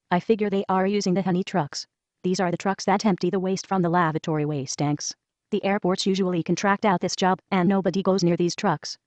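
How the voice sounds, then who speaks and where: A woman reading a first-person letter aloud in a brisk, even manner. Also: lowish voice, dry recording. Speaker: reader of a whistleblower letter. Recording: dull lo-fi voice track.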